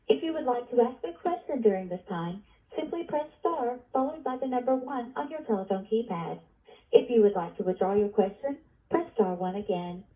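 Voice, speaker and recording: A woman's voice: fairly high pitch, lively intonation, moderate pace. Woman operator on a tinny phone line. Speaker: conference operator. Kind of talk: scripted call announcement. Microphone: telephone conference line. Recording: tinny narrow telephone line.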